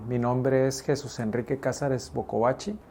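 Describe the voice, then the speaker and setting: A man's voice: mid-range voice, steady tone, normal speed, dark tone, slight background noise. A man with a Sonoran Mexican accent, speaking hesitantly and repeating words in an interview. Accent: Sonoran Mexican Spanish. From Mexico, Cocorit, Sonora.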